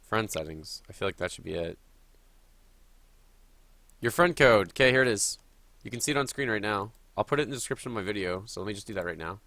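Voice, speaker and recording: Guy with a cold, a bit sniffly, chatting through his game stream, bright lowish voice. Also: lively intonation, brisk, long pauses. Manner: chatty, thinking aloud while playing. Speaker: gaming streamer. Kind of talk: live game commentary.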